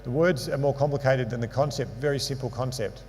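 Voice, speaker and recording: A man's voice: mid-range voice, a little fast, darkish tone. A man delivering a slide lecture in a big hall, his voice trailing a long reverb. He explains step by step, slips in dry little jokes, and a faint hum sits under the sound. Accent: non-local English accent. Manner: explanatory, with dry asides and fillers. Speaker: sports medicine lecturer.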